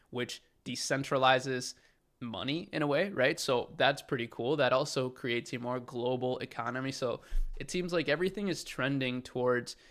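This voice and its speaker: A man's voice: medium pitch, fairly bright tone. A young man from Chile talking casually to camera as an e-commerce channel host, upbeat and enthusiastic, with lots of fillers. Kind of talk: casual direct-to-camera talk.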